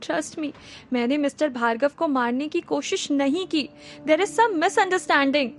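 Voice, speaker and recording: A man's voice: very high voice, normal speed, fairly bright tone, slight background noise, pitched above the performer's own voice. Woman doing a panicked, pleading young man. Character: frightened young man wrongly accused, pleading.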